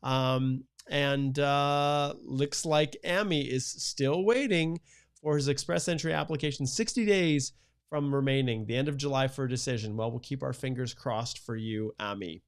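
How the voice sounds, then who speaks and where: A man's voice: mid-range voice, normal speed, smooth. A man hosting a live-streamed immigration Q&A, chatting casually and reading out viewer comments, with lots of "uh" fillers. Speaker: immigration lawyer, stream host.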